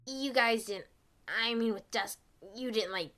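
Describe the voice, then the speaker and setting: Woman doing a cocky tomboy girl pony, brash, bright forceful voice, cartoon style. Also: on the high side, a little slow. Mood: stunned, awkward.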